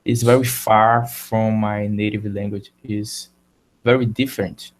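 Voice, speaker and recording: A Brazilian man with a Portuguese accent hosting a live video chat in English, halting and searching for words. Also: lowish voice, flat delivery, rough recording. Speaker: live show host.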